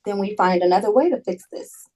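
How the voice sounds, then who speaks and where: A woman's voice: mid-range voice, a little fast, darkish tone, lightly acted, rough recording. A woman in a table read playing a blunt, emphatic, protective female colleague in a drama. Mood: grave, urgent. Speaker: actor in a script table read. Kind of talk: dramatic script reading.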